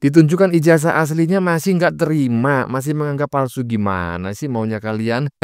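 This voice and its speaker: A man's voice: a little high, moderate pace, darkish tone, clean tone, no room sound, clean studio sound. A man giving informal political reaction commentary in a mocking manner, full of rhetorical questions. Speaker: host of a reaction channel.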